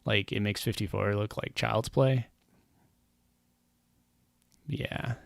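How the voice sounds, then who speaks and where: A man with a gravelly, fry-edged voice talks casually and rambles over a game stream, wry and self-mocking. His voice is close and dry, with no room around it. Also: fairly low pitch, fairly even intonation, slow pace, dry recording.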